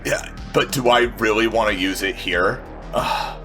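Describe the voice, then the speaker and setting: A man's voice: lowish voice, unhurried, music in the background. Sweary man playing a tabletop game. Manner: sweary, informal, repeats himself as he reasons aloud. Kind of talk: casual game-table chat.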